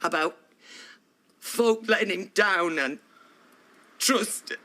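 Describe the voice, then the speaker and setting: A woman's voice: mid-range voice, slow pace, a bit bright. An actress playing a distraught middle-aged wife and mother in a TV soap, with a Northern English accent, guilty and defensive in an emphatic way. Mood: angry, accusing. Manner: emphatic, anguished delivery. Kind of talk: naturalistic television soap drama acting.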